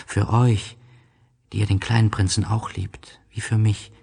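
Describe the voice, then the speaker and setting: A man narrating a literary story in the first person, in a reflective, measured and melancholy manner. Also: on the low side, level tone, creaky, dark tone, breathy edge.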